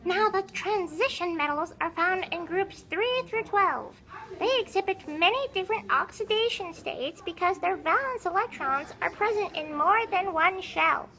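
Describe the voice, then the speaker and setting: A woman's voice: very high-pitched, lively intonation, normal speed, pushed and bright, exaggerated voice, rough recording. A woman voicing a grumpy, conceited old talking puppet who lectures impatiently in an educational puppet show. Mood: lecturing, haughty. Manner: haughty, exasperated, scolding, lecturing.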